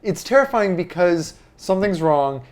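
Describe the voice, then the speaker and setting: A man's voice: high voice, sing-song, normal speed. A man hosting a show in a casual, conversational style. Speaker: show host. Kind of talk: casual chat.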